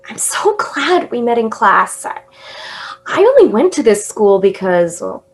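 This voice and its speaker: A woman's voice: fairly high pitch, sing-song, a little slow, slightly rough, exaggerated voice, rough recording. An actress in a radio drama playing a young woman at college, tipsy and stammering. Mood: grieving. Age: young adult. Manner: hesitant, stammering. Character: young woman, college student far from home, tender. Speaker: college student.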